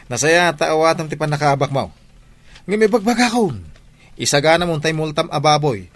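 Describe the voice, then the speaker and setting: A man's voice: fairly high pitch, lively intonation, normal speed, close to an everyday voice. A man with an Ilocano accent playing a boastful young village suitor in a radio comedy drama, teasing and chuckling.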